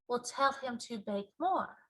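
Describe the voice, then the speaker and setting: A woman voicing a composed, commanding queen in a children's storybook, measured and matter-of-fact. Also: a little high, animated, a bit bright. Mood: dry. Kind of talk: children's storybook character reading.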